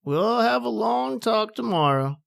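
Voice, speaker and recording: A man's voice: extremely high pitch, lively intonation, unhurried, creaky, fairly bright tone, exaggerated voice. A man playing a genial, proud old inventor professor in a radio drama, theatrical and avuncular. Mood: proud, expansive.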